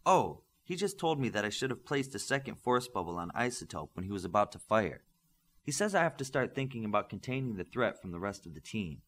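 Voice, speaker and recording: Man reading as an earnest young superhero, lively pitch. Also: fairly quick, slight background noise. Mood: earnest, explaining.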